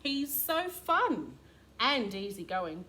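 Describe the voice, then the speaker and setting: Storybook cutlery character, played by a woman: wistful, emphatic, admiring, higher pitch with lots of lilt. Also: big pitch swings, slow, pushed and bright, heavily acted. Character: admiring, over-serious cutlery friends of a spoon.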